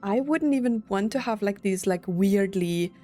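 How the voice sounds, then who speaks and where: A woman streamer comments on a game in a loose, conversational style. Her voice sits at an average pitch, and faint music plays behind her casual reactions. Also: lively intonation, normal speed, dark tone. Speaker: gaming streamer.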